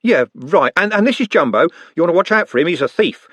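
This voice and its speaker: A man's voice: a little high, lively intonation, fast talker, a bit bright, dry recording, pitched above the performer's own voice. A man voicing a brash, cheeky young male football fan who swears and banters. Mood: bantering.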